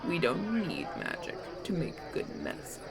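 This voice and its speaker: Proud elven chief played by a man, fantasy audio drama. Gravelly, scornful, wildly swooping intonation, loud crowd behind. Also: on the high side, huge pitch swings, moderate pace. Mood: disdainful. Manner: curt, disdainful. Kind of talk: fantasy audio roleplay acting.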